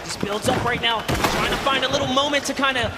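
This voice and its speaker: A young man giving energetic live esports commentary on a headset mic in a big arena. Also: extremely high pitch, brisk, very noisy. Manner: energetic, exclaiming on key plays. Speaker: esports caster. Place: large arena stage with live audience.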